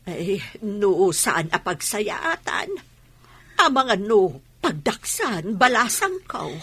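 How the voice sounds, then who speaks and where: Husky, worried mother acted by a woman. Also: mid-range voice, very animated, slow pace, a bit bright, dry recording, heavily acted. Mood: gentle, pensive. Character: worried mother, gentle and anxious. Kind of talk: Ilocano radio drama acting.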